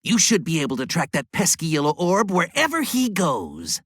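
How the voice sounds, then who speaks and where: A man voicing a sly, servile villain's henchman in an animated game, exaggerated and scheming. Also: a little high, moderate pace, breathy edge, dry recording, studio quality, full character voice.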